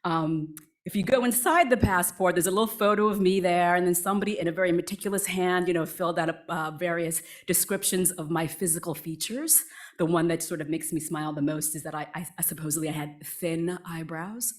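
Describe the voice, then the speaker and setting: Woman in her forties, lowish voice, chatty, self-deprecating, talking to an audience. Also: brisk. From born in Vietnam, raised near Boston. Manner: chatty and self-deprecating, lively pitch swings. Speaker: guest speaker, writer. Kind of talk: casual talk to a live audience.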